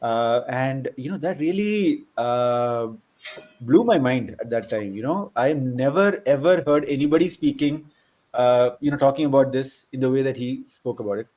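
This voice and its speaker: Middle-aged man, medium pitch, reflective guest in a casual discussion. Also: expressive, moderate pace, a little mellow. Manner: reflective, peppers talk with "you know". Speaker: panel guest. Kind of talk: casual chat.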